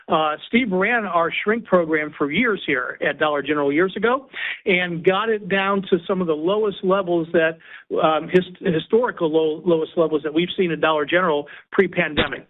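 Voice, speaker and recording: An older man, a company executive, gives halting, folksy unscripted business remarks on an earnings call. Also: high-pitched. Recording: thin, narrow-band phone conference line.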